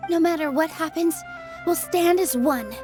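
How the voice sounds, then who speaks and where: An actress voicing a kind young woman dancer who speaks in a soft, reassuring way. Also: very high-pitched, moderate pace. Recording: game audio with clear music.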